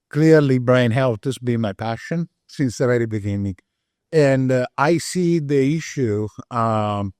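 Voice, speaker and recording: A middle-aged man from Italy with an Italian accent, speaking as a researcher on a panel, earnest and pausing often to find his words. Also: medium pitch, dry recording, clean studio sound. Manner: earnest, searching for words with many uh fillers. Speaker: panelist, brain health researcher. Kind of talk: panel discussion remarks.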